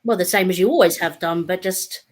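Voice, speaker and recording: A woman's voice: fairly low pitch, a little fast. A woman in a casual panel discussion, talking in a reflective, conversational way.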